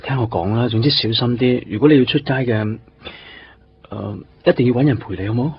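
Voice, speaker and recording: A man's voice: mid-range voice, brisk, a little hiss or hum, natural voice. An actor playing an earnest, protective male police detective in a Cantonese radio drama, speaking in short, clipped phrases. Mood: concerned, urging. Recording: dull band-limited radio broadcast, music behind.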